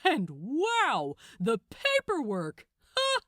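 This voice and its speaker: Woman playing a smug, mocking male archangel, lively pitch. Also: drawn-out words, no echo, studio quality, full character voice. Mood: gloating. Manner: condescending, chuckling, theatrical. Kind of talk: acted audiobook dialogue.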